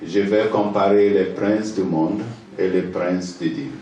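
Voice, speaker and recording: A man from Ghana with a Ghanaian accent, a native English speaker, preaching as a pastor in halting, hesitant second-language speech, pausing for an interpreter. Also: deep voice, steady tone, warm tone, dull audio. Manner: halting, hesitant French with fillers. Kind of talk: sermon with pauses for interpreter. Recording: echoey church hall from far off, faint noise.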